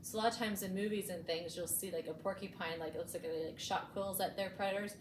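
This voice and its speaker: A woman's voice: on the low side, fairly even intonation, quick pace. Woman reading to children, echoey noisy room. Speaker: librarian hosting a bedtime storytime. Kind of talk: picture-book read-aloud with explanatory asides for children. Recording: echoey room with clear background noise.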